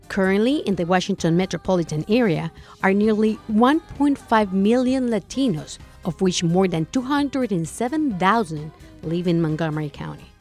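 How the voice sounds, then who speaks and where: A woman's voice: fairly low pitch, darkish tone. A woman narrating a news report, reading evenly from a script.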